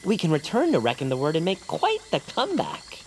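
Eager, animated young pal. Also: female voice, low voice, fairly quick, slight background noise, full character voice. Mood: upbeat. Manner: gushing, earnest, wholesome old-fashioned phrasing. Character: eager, chirpy, over-excited young pal Noah, radio-show fan. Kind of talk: audio comedy drama acting.

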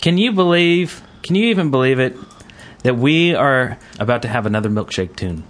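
A man's voice: medium pitch, expressive, moderate pace. Jokey, rambling male podcast host. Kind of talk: casual gaming podcast chat.

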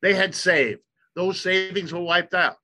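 A man from the United States whose first language was German, giving an emphatic explanatory talk and slowing down to stress key points. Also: sits high, pushed and bright. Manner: emphatic, lecturing, slows for stress.

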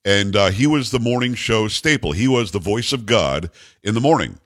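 Booming deep-voiced male radio host. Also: expressive, moderate pace, dry recording. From New York. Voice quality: deep bass voice. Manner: loud, upbeat, calls to the studio crew. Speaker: radio talk show host. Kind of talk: energetic talk radio monologue.

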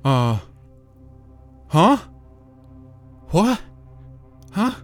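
An actor playing a defiant, indignant astrophysicist trapped at a polar station in a science-fiction audio drama, sputtering and cursing. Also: male voice, high-pitched, big pitch swings, very slow, faint music behind, full character voice. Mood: groggy, evasive.

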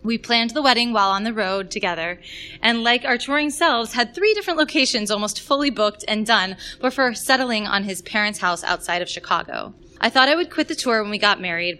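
A woman who performs in musical theatre, reading a scripted personal story aloud in a polished, expressive way. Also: medium pitch.

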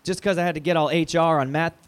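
An actor playing a placating, foul-mouthed radio station manager who talks in halting, cajoling corporate speak in an audio drama. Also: male voice, fairly high pitch, fairly even intonation, natural voice. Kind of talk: naturalistic audio drama acting.

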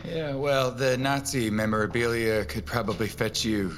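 An actor playing a shrewd antiques appraiser in an audio drama, dry, professional and deliberate. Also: male voice, medium pitch, steady tone, big-room sound, a little hiss or hum, close to an everyday voice. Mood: dry, frank. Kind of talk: dramatic audio fiction acting.

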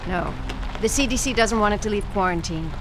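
An actress playing a precise crime lab scientist who talks crisply. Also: female voice, medium pitch, animated, brisk, background noise, natural voice.

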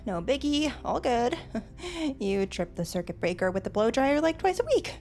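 A woman's voice: on the high side, very animated, normal speed, gravelly, darkish tone, soft background music. An actress playing a determined, bossy, sarcastic teenage girl in an audio drama. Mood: insistent.

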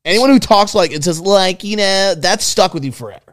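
A man's voice: high voice, animated, a little fast, fairly bright tone, no echo, studio quality. A man co-hosting a casual podcast, bantering loosely and swearing a lot. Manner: loose, profane, jokey banter. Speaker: podcast co-host.

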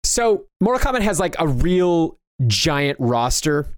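A man's voice: a little high, big pitch swings, unhurried, smooth, no echo, studio quality. A man hosting a casual pop-culture discussion, talking in a loose, rambling way and often repeating himself. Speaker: podcast host. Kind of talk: casual discussion of video game movies.

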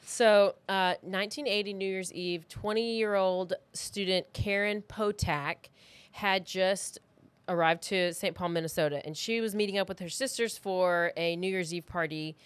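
Woman, medium pitch, informal true crime podcast co-host, conversational with halting asides; dry recording. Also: steady tone, clear, resonant tone, no echo. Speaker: podcast co-host telling the story. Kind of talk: casual true crime storytelling.

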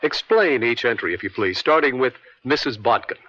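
A man acting a brisk, methodical prosecuting attorney in a radio courtroom drama, with precise, formal, controlling questioning. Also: medium pitch, expressive, bright, forceful tone. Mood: methodical, businesslike. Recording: dull vintage radio broadcast sound.

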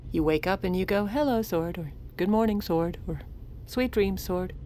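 A woman playing a sharp, resolute president's wife in an audio drama, blunt and wry in both narration and dialogue. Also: mid-range voice, normal speed, a little hiss or hum, lightly acted. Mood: reflective, wry.

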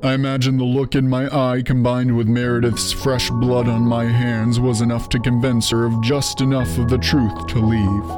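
Male actor as solemn investigator, medium pitch, measured scripted narration, reflective, clear music bed. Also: lightly acted. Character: reflective male detective recounting a grim past.

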